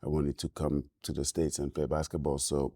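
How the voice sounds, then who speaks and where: A man from Senegal with a Senegalese French accent, a native French speaker, talking reflectively as an interview guest, halting with many ums and restarts. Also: very deep voice, little pitch movement, mellow, husky, dry recording.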